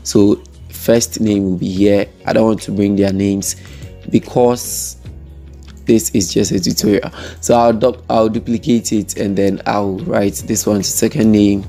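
A man talking through a software design tutorial step by step, chaining steps with "and then". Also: on the low side, measured pace, creaky, soft background music. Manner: steady step-by-step narration with frequent "and then". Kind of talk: screen-recorded software tutorial.

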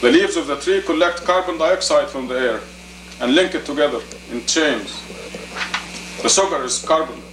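A man in his fifties giving a sermon as an imam, deliberate and emphatic, in short phrases and rhetorical questions. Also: a little high. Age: 50s. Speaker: imam, preacher. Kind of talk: sermon, teaching plainly to a congregation. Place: indoor meeting room. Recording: roomy, distant camcorder audio with faint noise.

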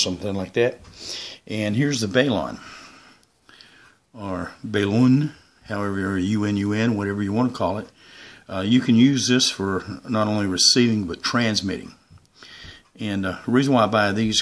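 Low-pitched man, rambling casual vlogger. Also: fairly bright tone. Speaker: YouTuber presenting his radio antennas. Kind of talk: casual unscripted product show-and-tell.

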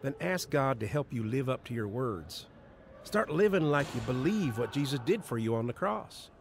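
Casting: devout, measured mentor for radio drama, medium pitch, some background noise. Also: male voice, big pitch swings. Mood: earnest counsel. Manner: measured, exhorting. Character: steady, devout male friend and mentor. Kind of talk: audio drama acting.

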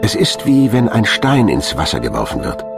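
Deep voiced guy narrating a science doc, lively intonation, loud music underneath. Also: moderate pace, gravelly. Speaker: documentary narrator. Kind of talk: scripted science documentary narration. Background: loud background music.

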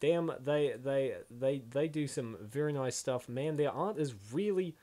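A man's voice: medium pitch, unhurried, warm tone. A man hosting a comic dub with casual, slangy, exclamatory reaction commentary. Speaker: host of a comic dub channel.

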